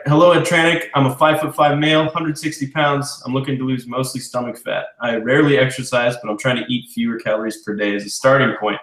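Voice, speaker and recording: A man's voice: mid-range voice, a bit bright, reverberant, rough recording. A man co-hosting a live Q&A, casually reading listener questions aloud.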